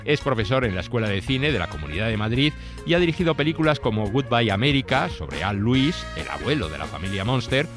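Cinema show presenter, man, animated delivery over music. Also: mid-range voice, fast. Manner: lively, informative presenting. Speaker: program host. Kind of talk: scripted presenting.

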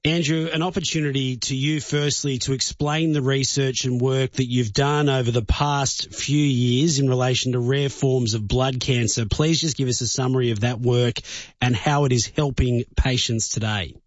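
A man hosts a news program, interviewing a guest with a measured, formal manner. His voice is mid-pitched. The recording is dry and a little dull. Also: steady tone, dry recording. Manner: measured, formal presenter delivery. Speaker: news host. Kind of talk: broadcast interview.

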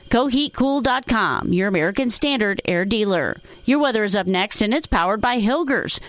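A woman anchoring local radio news, reading stories, ads and traffic in an even newsreader manner. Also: fairly high pitch, no echo. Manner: crisp, even newsreader delivery.

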